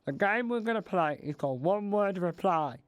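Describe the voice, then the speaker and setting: A man playing a bumbling older male local radio DJ, excitable and flustered, tripping over his words while losing his voice. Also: high-pitched, moderate pace, clean tone, no echo. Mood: flustered cheery. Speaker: radio host. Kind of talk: comedic spoof radio show.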